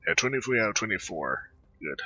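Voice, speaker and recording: A man's voice: fairly low pitch, sing-song, fairly quick, bright, forceful tone, husky. A man giving casual, offhand commentary as he plays through a video game. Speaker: gamer, let's-play host. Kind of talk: casual game playthrough commentary, reading some dialogue aloud. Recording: dull, band-limited close dry microphone.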